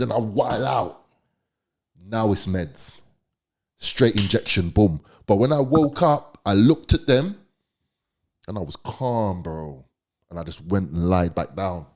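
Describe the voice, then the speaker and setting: Male podcast guest, London accent, deep low voice, slangy and emphatic storytelling. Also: darkish tone. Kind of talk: candid storytelling.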